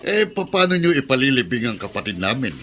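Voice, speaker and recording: An actor playing a meddling, scornful middle-aged brother-in-law in a Filipino radio drama, blunt and needling. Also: male voice, medium pitch, big pitch swings, a little fast, sharp tone.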